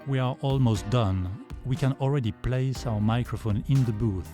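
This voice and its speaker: A man with a deep voice giving a calm, measured voice-over tutorial. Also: loud music behind the voice. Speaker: video creator. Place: foam vocal booth.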